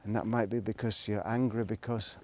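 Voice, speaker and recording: Middle aged guy, lowish voice, just rambling and thinking out loud, kinda hesitant. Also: steady tone, normal speed. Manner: hesitant, thinking aloud, trailing off. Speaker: solo video blogger. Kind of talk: rambling, reflective casual monologue.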